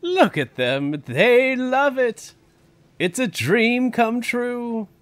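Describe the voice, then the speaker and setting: Guy doing a grandfatherly old park owner, super swoopy voice, slow drawn-out talk. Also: very high voice, sing-song, fairly bright tone, full character voice. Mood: delighted. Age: older. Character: excitable elderly male park owner, grandfatherly. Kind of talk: fan audio drama acting.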